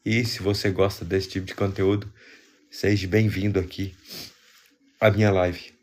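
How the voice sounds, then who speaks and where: A man with a fairly low voice and a Brazilian accent, congested from a cold. He hosts a live stream in a casual, chatty way, speaking directly to his viewers. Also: level tone, normal speed, a little mellow. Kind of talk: casual livestream chat, greeting viewers.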